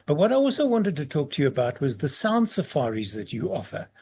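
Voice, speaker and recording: A man's voice: on the high side, sing-song, fairly quick, a little mellow, slight background noise. A man hosting a podcast interview, chatty and affable as he asks his questions. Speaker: podcast host.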